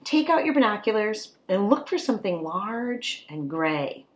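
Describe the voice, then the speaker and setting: A woman with a lively, widely swinging voice reads a picture book to children in a teacherly way. Her pitch sits in the middle range for a woman. She moves between expressive reading and coaxing questions addressed straight to her young listeners. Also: a little slow.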